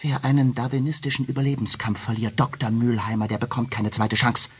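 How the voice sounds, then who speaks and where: An actor playing a skeptical investigator who questions the scientists in a sardonic, probing way. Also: male voice, mid-range voice, little pitch movement, brisk, husky. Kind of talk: radio play acting.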